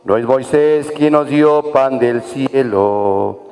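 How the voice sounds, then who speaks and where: A man's voice: mid-range voice, slow, a little mellow, faint music behind. A man with a Mexican Spanish accent, singing a communion hymn as cantor at mass, drawn out and devotional. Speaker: church cantor. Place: parish church during mass. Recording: long church reverb with accompaniment.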